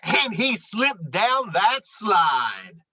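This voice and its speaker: A woman reading a children's book aloud in an expressive, dramatic and deliberate storytelling style. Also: medium pitch, husky, dull audio.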